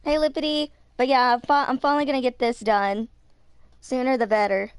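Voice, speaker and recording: A woman's voice: high-pitched, fairly even intonation, fairly quick, smooth, no echo. A woman in her twenties chatting casually on a livestream while she plays a game. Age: 20s. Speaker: game streamer.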